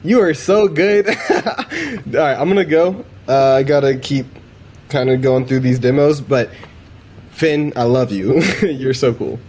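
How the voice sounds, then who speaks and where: A man presents a demo in a casual, off-the-cuff way. His talk is informal and slangy, with an enthusiastic manner and an everyday mid-pitched voice. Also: lively intonation, unhurried, darkish tone, a little hiss or hum. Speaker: presenter demonstrating AI voice assistants.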